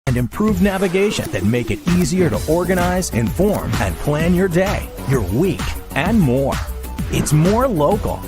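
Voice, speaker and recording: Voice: medium pitch, big pitch swings, a little slow, darkish tone, faint music behind. A commercial announcer giving an upbeat promotional read.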